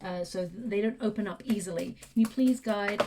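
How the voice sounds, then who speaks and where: A woman giving a tarot reading, talking softly and reflectively as she thinks aloud, her voice going hoarse and throat clearing. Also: mid-range voice, normal speed, background noise. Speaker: tarot reader.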